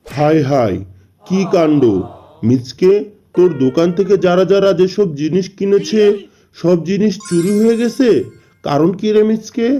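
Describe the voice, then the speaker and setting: Man playing a cartoon tiger uncle, highish mellow voice, blustering, theatrical exclamations, music behind. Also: moderate pace, natural voice. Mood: agitated. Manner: exclamatory, theatrical wailing and scolding. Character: male tiger uncle, blustering, aggrieved village animal. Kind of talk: Bengali children's cartoon voice acting.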